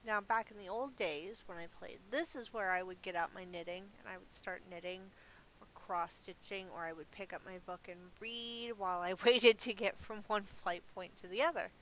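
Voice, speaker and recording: A middle-aged woman hosts a gameplay video, chatting casually and thinking aloud with a self-amused air. Her voice sits at an average pitch for a woman. There is a noticeable hiss on the recording. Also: normal speed, fairly bright tone, low-quality recording. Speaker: gaming video host. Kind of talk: casual rambling gameplay commentary. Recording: clearly audible background hiss.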